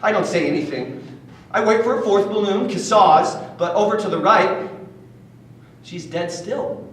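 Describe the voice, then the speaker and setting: A man's voice: high voice, a little slow, a bit bright. A man playing a sensitive, defiant bullied schoolboy who tells his own story as a vivid, emotive stage monologue in short bursts. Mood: hushed, tense. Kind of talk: dramatic first-person stage monologue. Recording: distant, echoey room with faint noise.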